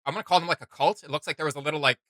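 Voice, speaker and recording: A man's voice: fairly high pitch, steady tone, very fast, fairly bright tone, no echo, studio quality. A man hosting a reaction video, thinking aloud in casual, rambling commentary.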